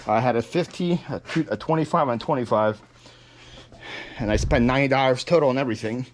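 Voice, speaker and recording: A young man raised in Ukraine speaks with a Ukrainian accent in a voice of ordinary middle pitch. He chats loosely and casually, stopping for ums and restarting his sentences as he goes. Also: fairly quick. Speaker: reseller vlogger. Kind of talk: casual vlog talk. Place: warehouse.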